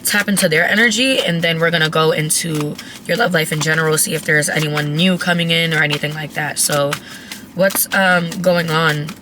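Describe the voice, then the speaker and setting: A woman who has lost her voice, raspy and cracking, giving a chatty, blunt tarot reading to her viewers. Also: sits low, fairly even intonation, moderate pace, background noise. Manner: chatty, blunt, swears casually. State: hoarse, voice cracking. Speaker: tarot reader. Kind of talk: casual tarot reading to camera.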